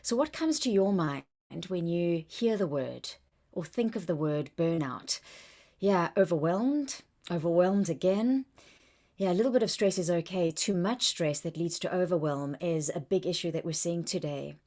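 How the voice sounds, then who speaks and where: A woman's voice: fairly low pitch, expressive, normal speed, mellow. A woman with a South African accent, a workplace wellbeing coach presenting on a video-call webinar, engaging the audience with questions.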